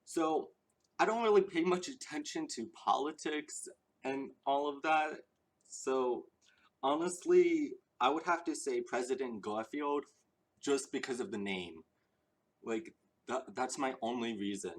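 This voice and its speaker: A man's voice: medium pitch, lively intonation, a little slow. A young man answering viewer questions in a casual chat, earnest and eager, rambling with ums and restarts, a little out of breath. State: slightly out of breath.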